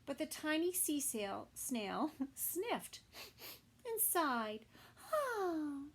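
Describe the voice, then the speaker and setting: Very slow, animated woman reading to toddlers. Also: high-pitched. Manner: slow, sing-song phrasing with soft asides to children. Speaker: children's story time reader. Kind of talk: expressive picture-book read-aloud for toddlers.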